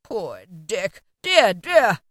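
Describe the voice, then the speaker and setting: A woman's voice: mid-range voice, sing-song, drawn-out words, no echo, studio quality, heavily acted. A woman voicing an emotional old man stirred by memories, with a fervent, exclamatory delivery. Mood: wistful delight.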